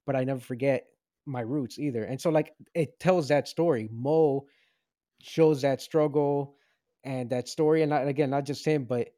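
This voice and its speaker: A man's voice: medium pitch, fairly even intonation, normal speed, mellow, no room sound, studio quality. A man in his late thirties from Venezuela, co-hosting a casual show review and rambling with many restarts. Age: 30s. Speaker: podcast co-host.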